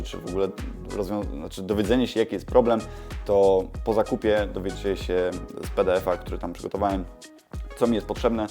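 Low-voiced man, casual vlogger. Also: darkish tone. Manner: informal, self-correcting, explanatory. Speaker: YouTube creator and host. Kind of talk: casual vlog update to camera.

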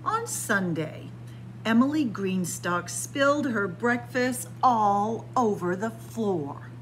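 A middle-aged woman reading a picture book aloud to children in a library, playful and animated. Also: medium pitch, slow pace, a little hiss or hum. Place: library, in front of bookshelves. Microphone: camera at close range.

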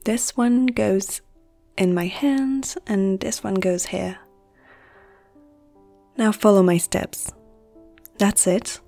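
A woman voicing a flirtatious, gentle female vampire noble in an intimate, teasing ASMR roleplay, soft and often close to a whisper. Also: mid-range voice, takes it slowly, creaky, long pauses, faint music behind. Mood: teasing, instructive.

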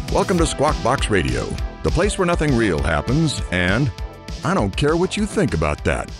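An actor playing a brash, sarcastic morning radio DJ with irreverent showman banter in an audio drama. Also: mid-range voice. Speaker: radio DJ host.

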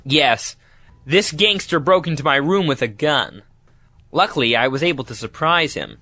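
A man's voice: a little high, expressive, normal speed, bright, forceful tone, exaggerated voice. A man playing a plucky, polite young reporter hero, earnest, in an audio drama. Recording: clear background noise, clicks.

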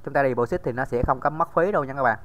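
A man's voice: mid-range voice, racing, fairly bright tone. A man giving a casual screen-recorded tutorial, talking straight to viewers with many fillers. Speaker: crypto tutorial host.

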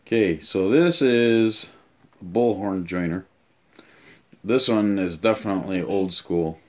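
Low-voiced, slow-talking casual man. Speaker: tool reviewer and vlogger. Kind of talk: casual unboxing and review talk. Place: small home room, indoors.

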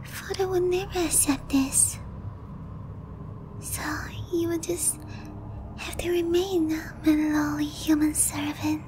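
A woman voicing an obsessive, lovesick young vampire princess in breathy, intimate roleplay ASMR. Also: high voice, steady tone, noisy background. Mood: possessive, wistful. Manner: breathy, pleading, slow and intimate.

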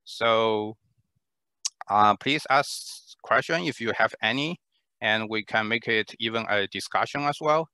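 A man, presenting his research lab in an online talk, halting with many fillers and restarts, on a headset mic in a small room. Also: fairly low pitch, bright, forceful tone, smooth, no room sound. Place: small room at home, indoors.

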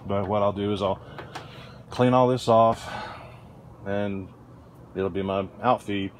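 A middle-aged man hosting a woodworking channel, giving a relaxed, rambling tour of his porch workshop. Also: lowish voice, takes it slowly, slight background noise. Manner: relaxed, rambling, with restarts and fillers. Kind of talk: casual shop tour. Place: outdoor front porch workshop under a canopy.

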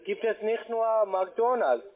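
Call centre agent, male, high voice, non-native with foreign accent, halting repetitive delivery, narrow telephone sound. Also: expressive. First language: not German. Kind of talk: phone sales conversation. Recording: tinny narrowband phone line.

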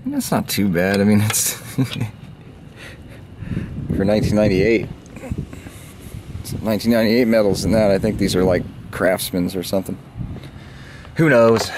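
Man from the southern US, low voice, casual car vlogger, offhand, thinking aloud, noisy handheld recording. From southern United States. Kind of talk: casual rambling chat while working on a car. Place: outdoors at an open car trunk. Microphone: handheld phone or camera mic.

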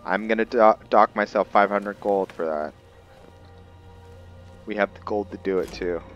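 A man giving casual roleplay gameplay commentary, thinking aloud in short, halting phrases as he plays. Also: on the low side, steady tone, lo-fi sound. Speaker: gaming video host, player. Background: game music.

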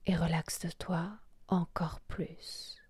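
Very slow, soft breathy woman. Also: on the low side, little pitch movement, no echo. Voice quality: soft, breathy, half-whispered. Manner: slow, soothing, hushed delivery with long pauses. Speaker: yoga nidra guide. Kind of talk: guided relaxation meditation.

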